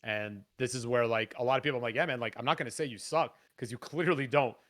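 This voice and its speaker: A man from Canada with a raspy, low voice, chatting on a podcast as a guest musician, animated and swearing freely. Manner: animated, swears freely, quick excited bursts. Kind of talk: casual podcast chat.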